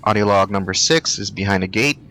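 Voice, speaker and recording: A man narrating a video game walkthrough, giving brisk, matter-of-fact directions to collectibles. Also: lowish voice, bright, forceful tone, a little hiss or hum, low-quality recording. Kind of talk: walkthrough voice-over.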